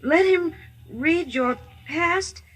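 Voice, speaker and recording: A woman playing an earnest, trusting, excitable Kansas farm girl in a 1950s radio drama, with wide-eyed exclamations and hesitations. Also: extremely high pitch, drawn-out words, exaggerated voice. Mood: wondering, shy. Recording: vintage radio broadcast with orchestral music.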